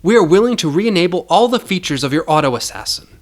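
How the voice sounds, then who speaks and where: A man's voice: sits high, very animated, quick pace, voice pushed up. A man voicing an officious, ruthless male handler of a hired assassin in an audio story, businesslike and scornful.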